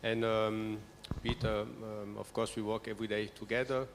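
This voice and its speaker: A man moderates a panel discussion in a polite, measured way. His voice is low and very flat in melody. A long hall reverb and faint background noise surround him. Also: vocal fry. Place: conference hall.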